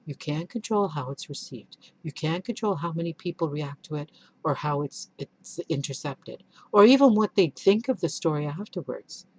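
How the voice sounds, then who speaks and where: Low husky woman, candid and earnest. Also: expressive, normal speed, a little mellow, no room sound, poor audio. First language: Urdu. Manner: candid and earnest, with frequent restarts and self-corrections. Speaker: children's author. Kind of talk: reflective vlog answering questions.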